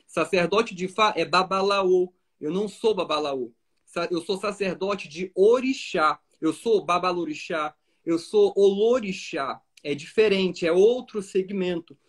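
A man's voice: high-pitched, measured pace. A man with a Brazilian accent explaining religious distinctions didactically on a live stream, checking that listeners follow. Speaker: Orisha priest as guest on a live stream.

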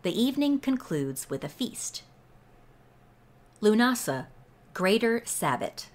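A woman reading aloud from a scripted ritual text in a measured, deliberate way. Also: medium pitch, very animated. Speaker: read-along host and reader. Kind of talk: slow scripted read-aloud of a ritual book.

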